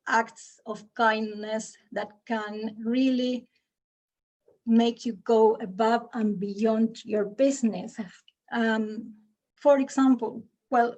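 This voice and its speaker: A woman giving a conference talk to freelancers, explaining in a measured way with fillers and restarts. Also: fairly high pitch, darkish tone, poor audio. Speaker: conference speaker. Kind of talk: presentation to an audience.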